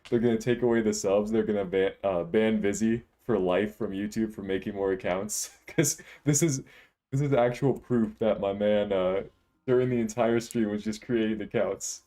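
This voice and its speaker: A man in his twenties with a deep voice, chatting casually and jokingly while live-streaming a video game. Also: moderate pace, warm tone. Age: 20s. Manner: laid-back, joking, rambling.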